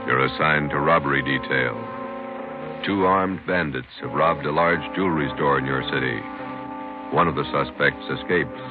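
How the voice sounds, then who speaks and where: A man with a remarkably deep voice narrates solemnly. His phrases are terse and portentous, in vintage radio style. The broadcast is muffled, with music underneath. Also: normal speed. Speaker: narrating announcer. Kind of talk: solemn scripted 1950s radio introduction.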